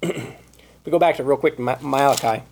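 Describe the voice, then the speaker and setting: A man preaching a Bible study sermon, reading scripture aloud and asking rhetorical questions, with his voice going hoarse. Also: mid-range voice, moderate pace, darkish tone, a little hiss or hum. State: losing his voice.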